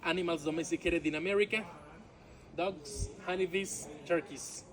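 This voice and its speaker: Chatty Mexican tour guide outdoors. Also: male voice, fairly high pitch, fairly even intonation, moderate pace. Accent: Mexican Spanish. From Yucatán, Mexico. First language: Yucatec Maya. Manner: chatty, keeps saying "my friends" and "all right?"; jokey, laughs at his own jokes. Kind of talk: outdoor walking tour talk. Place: outdoors among ruins, tour group around. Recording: outdoor crowd of tourists behind.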